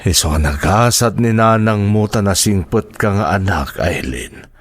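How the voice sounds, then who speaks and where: A regretful middle-aged uncle in a radio drama, speaking with a Filipino accent. His low voice rises and falls widely as he haltingly apologizes, close and dry on the microphone. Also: expressive, no room sound. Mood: disheartened, resigned. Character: regretful, disheartened uncle of a graduate, humbled and apologetic. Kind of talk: Ilocano radio drama acting.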